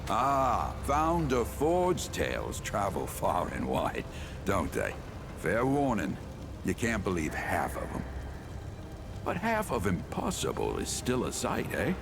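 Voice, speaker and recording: An actor playing a genial, folksy equipment smith and survivor in a video game, chatty and welcoming. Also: male voice, on the low side, wildly swooping pitch, takes it slowly, gravelly, over music, heavily acted. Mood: amused, curious. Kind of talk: video game character dialogue.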